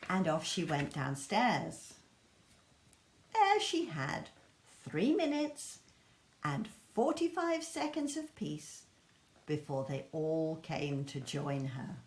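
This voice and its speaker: A woman's voice: low-pitched, huge pitch swings, takes it slowly. A woman reading a children's story expressively as a storyteller. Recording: echoey room.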